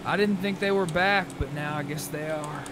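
A man's voice: on the high side, fairly quick, background noise. A man chatting casually in video game roleplay, swearing freely with mock indignation. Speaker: gamer, roleplay streamer.

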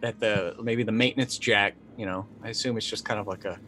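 A man's voice: lowish voice, fairly even intonation, a little fast, fairly bright tone. A middle-aged man playing a tabletop roleplaying game, chatting casually and wryly, often restarting his sentences. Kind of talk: casual, improvised game chat. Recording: faint background noise and ambience.

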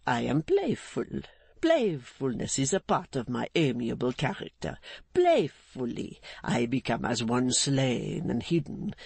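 Man doing a slow, mocking villain, insolent and menacing, dry sound. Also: mid-range voice, creaky, darkish tone, slightly rough, no room sound, full character voice. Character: menacing swaggering adult male blackmailer, insolent.

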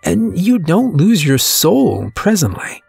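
A man voicing a pale, refined male stranger who bargains for souls, with a smooth, sharp, mock-polite drawl. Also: high voice, huge pitch swings, takes it slowly, no room sound, faint music behind, pitched above the performer's own voice, heavily acted. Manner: taunting, giddy, drawling. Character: pale, refined, taunting male soul-dealer.

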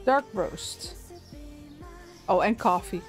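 Soft-spoken woman streamer chatting. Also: mid-range voice, takes it slowly, music in the background. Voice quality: soothing voice. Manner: relaxed, chatty, frequent little laughs and fillers. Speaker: game streamer. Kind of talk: casual gameplay commentary, chatting with viewers.

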